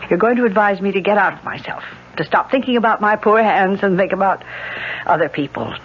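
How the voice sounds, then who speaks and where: An American actress playing a proud, bitter, rich middle-aged widow in a radio drama, speaking with precise, sardonic theatricality. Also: female voice, mid-range voice, a little fast, lightly acted. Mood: bitter, weary. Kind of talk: 1970s radio drama acting. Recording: dull, noisy 1970s radio recording.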